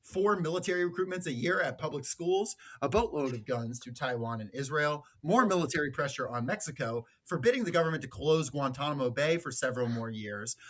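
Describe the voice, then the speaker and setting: A man's voice: fairly high pitch, bright, forceful tone. A man with an American accent giving political commentary as a podcast host, partly reading from notes.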